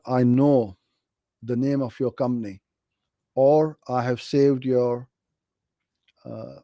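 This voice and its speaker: A man's voice: medium pitch, dark tone, no room sound. A middle-aged man from Pakistan hosting a live question session, giving practical advice in a friendly, direct way. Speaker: host answering viewer questions. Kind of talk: live Q&A on online advertising.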